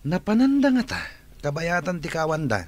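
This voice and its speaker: A woman voicing a gruff, suspicious older man in a radio drama, talking curtly. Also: on the low side, very animated, moderate pace, a little mellow, no echo, pitched below the performer's own voice.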